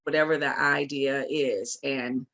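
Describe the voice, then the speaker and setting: A woman's voice: a bass voice, steady tone, a little slow, fairly bright tone. A woman giving conversational interview answers as a guest, speaking emphatically, repeating phrases and acting out her own thoughts. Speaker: guest, playwright.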